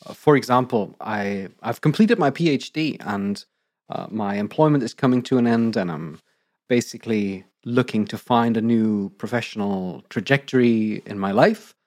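A slightly croaky man in his thirties co-hosts a podcast, articulate and measured, with frequent laughs. His voice sits at an ordinary pitch. The recording is dry and close, with no room sound. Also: darkish tone, no room sound. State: slightly croaky, recovering from illness. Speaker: podcast co-host. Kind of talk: casual podcast announcement.

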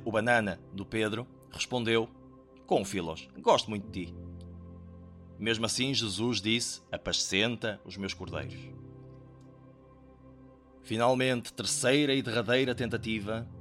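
A man's voice: medium pitch, fairly bright tone. A man with a European Portuguese accent, hosting a podcast and explaining a Bible passage in a conversational teaching tone, speaking directly to the listener. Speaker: podcast host explaining scripture. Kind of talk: reflective teaching talk.

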